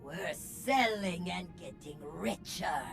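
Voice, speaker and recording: An actress playing a bold, charismatic female gang leader in a video game, with a declamatory, deliberate, rallying delivery. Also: lowish voice, gravelly, faint music behind. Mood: defiant, assured. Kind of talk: theatrical video game speech.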